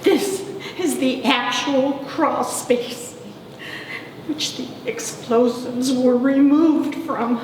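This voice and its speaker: Woman playing a breathless, slow TV reporter in an echoey hall. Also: sits high, fairly even intonation, a little mellow. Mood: breathless alarm. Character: breathless, melodramatic television news reporter. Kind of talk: live comic stage reading. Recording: echoey hall from far off, faint noise.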